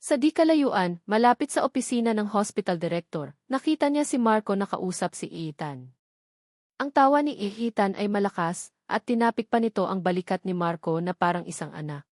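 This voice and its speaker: A woman with a Filipino accent narrates a dramatic story. Her voice sits at a middle pitch for a woman. She reads from a script as a storyteller, and the recording is dry, with hardly any room sound. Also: expressive, normal speed, a little mellow, no echo.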